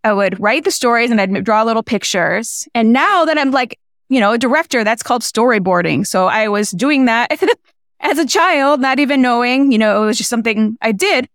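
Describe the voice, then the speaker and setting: A young woman talks through her story in a relaxed interview, often stopping and restarting as she thinks. Her voice sits slightly high and comes across smooth and resonant, close and dry, with no room around it. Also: no echo. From United States, small-town Illinois. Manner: reflective, halting with many restarts and fillers. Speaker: filmmaker guest. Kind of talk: casual interview conversation.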